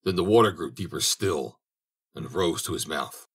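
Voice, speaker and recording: A man's voice: low voice, moderate pace, breathy edge. A man reading a fairy tale aloud as an audiobook narrator, telling it expressively. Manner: expressive storytelling. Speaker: audiobook reader.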